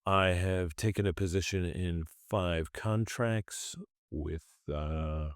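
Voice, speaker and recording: Deep-voiced guy going over his trades, kinda stuffed up, rambly and correcting himself. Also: steady tone, slow pace, clear, resonant tone, no echo, clean studio sound. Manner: rambling, self-correcting, thinks aloud. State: congested from a cold. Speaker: stock trading channel host. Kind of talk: casual screen-share commentary on trades.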